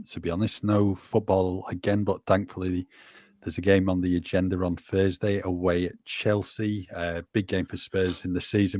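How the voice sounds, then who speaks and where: Low-voiced man hosting a podcast. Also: no room sound. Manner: steady, informative, leads the talk. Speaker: podcast host. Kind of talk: casual football podcast chat.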